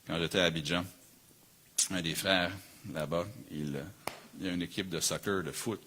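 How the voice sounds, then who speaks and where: A man's voice: low voice, steady tone, normal speed, pushed and bright, a little hiss or hum, rough recording. A man with a Quebec French accent preaching a sermon as a pastor, earnest and emphatic, building his points through repeated phrases. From Canada.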